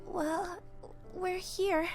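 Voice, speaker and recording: A woman's voice: extremely high pitch, fairly even intonation, very slow, darkish tone, faint music behind, heavily acted. A woman acting a shy, tender young woman in a visual novel, speaking hesitantly and earnestly as she opens her heart.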